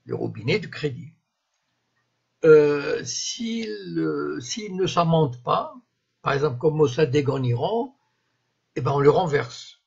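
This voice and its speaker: A man in his seventies from Morocco, whose first language is Moroccan Arabic, giving an unscripted live-stream monologue, often pausing and restarting to find his words. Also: on the high side, sing-song, creaky, a little mellow, breathy edge. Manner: halting, searching for words, frequent restarts. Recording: dull lo-fi live-stream audio.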